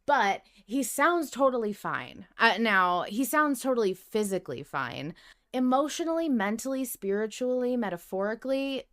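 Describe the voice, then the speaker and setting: A woman co-hosting a fan discussion podcast, chatting casually in an emphatic, expressive, sweary way. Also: fairly high pitch, moderate pace. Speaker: podcast co-host.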